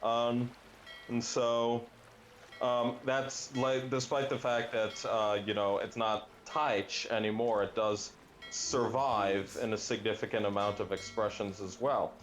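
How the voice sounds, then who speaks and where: A young adult man chatting casually while playing a game, musing aloud and often pausing with fillers and restarts. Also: fairly low pitch, flat delivery. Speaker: language gaming guest. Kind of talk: casual chat while gaming.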